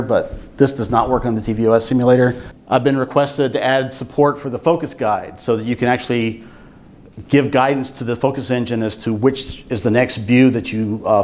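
An older man giving an informal technical presentation at a meetup, explaining things in a measured way. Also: medium pitch, darkish tone, a little hiss or hum. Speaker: software engineer giving a talk. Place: meetup room with seated audience, indoors.

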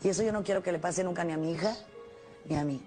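A woman's voice: fairly low pitch, racing, dark tone, faint music behind. A woman speaking personally, giving testimony in an archive television clip.

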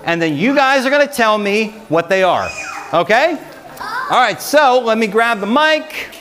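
A middle-aged man hosting a children's music guessing game at a library, calling out loudly and encouragingly like a game-show host. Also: high voice, animated, unhurried. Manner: loud, projecting, encouraging game-show-style calls. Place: large carpeted library meeting room. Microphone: handheld microphone, filmed from far camera. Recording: noisy room recording, keyboard music, children clamoring. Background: loud keyboard music and crowd of children.

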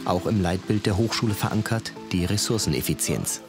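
A male narrator with a very low voice reads a scripted documentary text. Music plays clearly behind him. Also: fairly quick. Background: background music.

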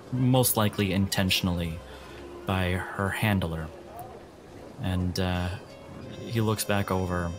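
A man with a slightly low voice narrates as game master. He takes his time, in halting phrases, over soft music. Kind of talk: scene narration for a tabletop game.

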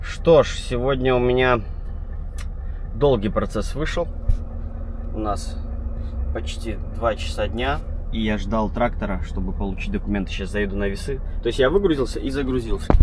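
A man who drives a truck, chatting to his viewers in a relaxed, rambling vlog style. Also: fairly low pitch, moderate pace, background noise. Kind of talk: casual vlog chat. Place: truck cab and roadside.

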